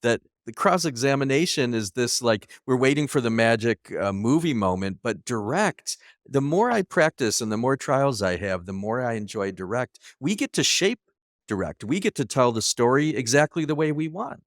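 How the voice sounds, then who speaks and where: Male host for a casual lawyer interview, average pitch, dry recording. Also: animated, normal speed, no echo.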